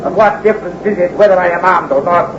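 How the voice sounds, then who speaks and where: A menacing bandit from an old radio play, voiced by a man, barking cold orders and threats in a very high voice. The recording is muffled and thin, with a clear hiss running under every line. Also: fast, lightly acted. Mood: commanding. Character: menacing male bandit who guards the gold. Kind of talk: 1940s radio acting.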